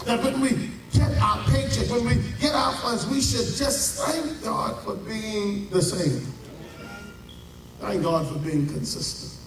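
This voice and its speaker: High-voiced Bahamian pastor preaching slowly. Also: male voice, animated, music in the background. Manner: preaching with dramatic pauses and drawn-out emphasis. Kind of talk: sermon. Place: large church. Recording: echoey church heard from a distance.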